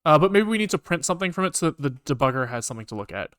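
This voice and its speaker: A man casually live-coding on a stream, thinking aloud in halting, muttered bits as he works. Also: a little high, big pitch swings, a bit bright, clear, resonant tone. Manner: halting, muttering to himself while working. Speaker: programming livestreamer.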